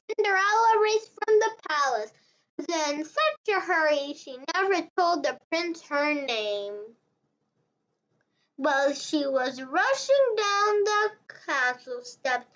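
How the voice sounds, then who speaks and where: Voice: very high-pitched, a bit bright, lo-fi sound. A child reading a children's fairy tale aloud, word by word like a beginner reader. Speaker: story reader.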